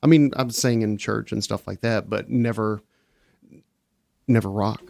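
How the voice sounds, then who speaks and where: A man's voice: on the low side, steady tone, measured pace, creaky, darkish tone, dry recording, studio quality. A man guest on a casual podcast, telling long stories with many restarts. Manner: rambling, self-interrupting storyteller.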